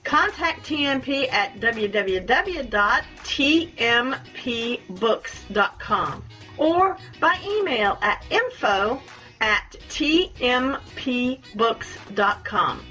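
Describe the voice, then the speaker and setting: A woman's voice: fairly high pitch, lively intonation, slow, pushed and bright, soft background music, poor audio. A woman hosting a radio show, chatting and reading scripted announcements. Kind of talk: friendly interview sign-off and scripted sponsor reads.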